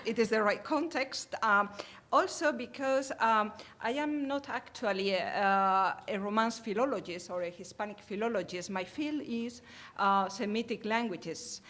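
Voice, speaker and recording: A woman with a Spanish accent giving an academic conference talk in a careful, formal way, often pausing on hesitations. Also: mid-range voice, a bit bright. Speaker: conference presenter.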